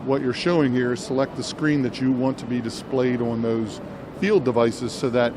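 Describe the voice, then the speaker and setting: A man's voice: medium pitch, steady tone, moderate pace. A man giving unscripted product demo commentary as an interviewer, explaining and rephrasing as he goes. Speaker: interviewer at a trade show. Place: indoor trade show booth. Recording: noisy trade show floor.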